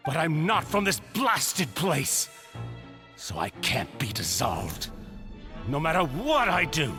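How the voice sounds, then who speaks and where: A desperate, grief-crazed game villain, theatrical and obsessed. His voice runs slightly high and swings wildly, with slow, drawn-out anguish, over loud music. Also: huge pitch swings, heavily acted. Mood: bitter despair. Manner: theatrical, unhinged, anguished delivery. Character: desperate grief-maddened male villain obsessed with lost lover. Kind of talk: dramatic video game voice acting. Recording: music under the voice.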